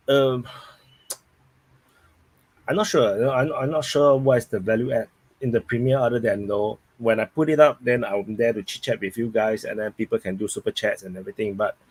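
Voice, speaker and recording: A man's voice: mid-range voice, husky, no room sound, poor audio. A man with a Singaporean accent hosting a live stream, rambling and thinking aloud while he reads viewers' chat.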